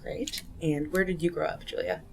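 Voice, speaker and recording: A woman interviewer gently asking questions in an oral history interview. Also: sits low, steady tone, normal speed, creaky. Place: community center room.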